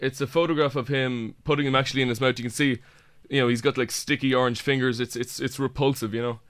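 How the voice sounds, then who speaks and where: A man's voice: medium pitch, fairly even intonation, fast, fairly bright tone, a little hiss or hum, close to an everyday voice. An Irish man playing an earnest, hapless amateur paparazzo, rambling hesitantly through a prank phone call. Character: earnest, hapless semi-professional paparazzo peddling photos. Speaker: prank caller.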